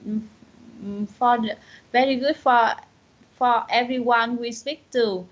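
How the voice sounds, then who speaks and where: A woman with a Vietnamese accent, a student speaking English in an online lesson, halting and searching for words with many restarts. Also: on the high side, steady tone, highs cut off.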